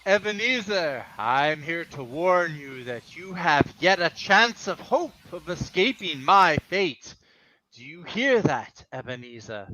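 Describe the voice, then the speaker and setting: Guy doing doomy dead partner ghost, slow n booming declamatory, tense sharp voice, radio play. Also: lively intonation, slight background noise, exaggerated voice, low-quality recording. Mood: solemn warning. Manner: declamatory, solemn, bombastic. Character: mournful, warning ghost of dead business partner. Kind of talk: comic radio play reading.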